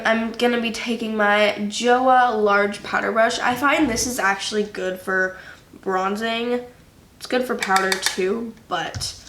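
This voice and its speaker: A woman's voice: on the high side, fairly bright tone. A young woman chatting through a makeup tutorial, slightly nasal from a cold, with lots of fillers. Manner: chatty, filler-heavy, unhurried. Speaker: beauty vlogger. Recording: echoey room with faint noise.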